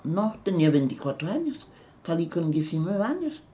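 An elderly woman with a German accent, recalling her past in an interview, halting and repeating words as she searches for them. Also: fairly low pitch, big pitch swings, mellow, a little hiss or hum. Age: older. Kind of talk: recounting memories in an interview.

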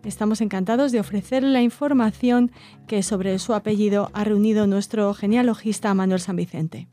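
A woman hosting a radio program, reading listener letters aloud in a measured, gentle way with occasional hesitations. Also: medium pitch, fairly even intonation. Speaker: radio host. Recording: faint music underneath.

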